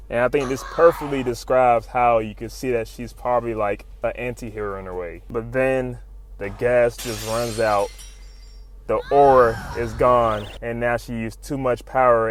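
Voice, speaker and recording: A man giving an excited, slangy, profane comic recap as a video host. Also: mid-range voice. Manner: slangy, profane, informal, exclamatory.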